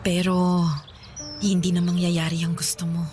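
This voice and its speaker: A woman's voice: fairly low pitch, monotone, normal speed, close to an everyday voice. A woman playing a middle-aged mother, apologetic but firm, in a radio drama. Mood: guarded, apologetic. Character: mother who left her family, middle-aged, guarded. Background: music.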